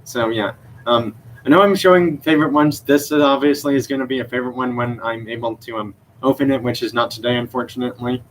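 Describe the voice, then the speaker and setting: A man's voice: medium pitch, fairly even intonation, fast talker, slight background noise, low-quality recording. A young man chatting on a live stream about his toy car collection, rambling with many fillers and unsure self-corrections. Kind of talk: casual live stream show-and-tell. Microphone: computer mic.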